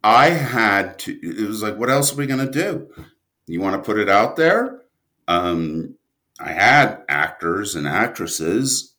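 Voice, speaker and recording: A man speaking as an interview guest, a writer and director who answers conversationally in halting, deliberate, emphatic phrases. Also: fairly low pitch, lively intonation, pushed and bright.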